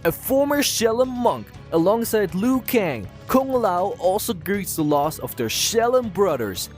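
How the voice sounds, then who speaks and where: A man's voice: sits high, big pitch swings, unhurried, soft background music. A man reading a dramatic scripted narration.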